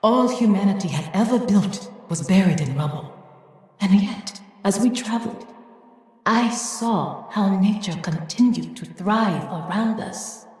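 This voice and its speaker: A woman voicing a wise, reflective older guardian in a video game, with a measured, wistful and earnest manner. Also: mid-range voice, animated, darkish tone, lightly acted. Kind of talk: dramatic video game monologue. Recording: very echoey, long reverb tail.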